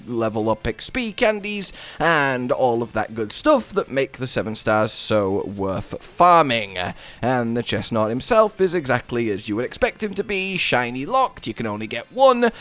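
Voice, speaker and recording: A man narrating a video game guide as the host, in a chatty, casual style with emphatic, drawn-out stresses. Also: fairly high pitch, fairly bright tone, clear, resonant tone.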